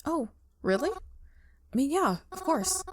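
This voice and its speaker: A woman's voice: high voice, sing-song, takes it slowly, no room sound, studio quality, pitched above the performer's own voice, exaggerated voice. A woman voicing a shy, bookish, easily flustered young woman in a visual novel game, hesitant and stammering. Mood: shy, eager. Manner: hesitant, soft-hearted, stammering.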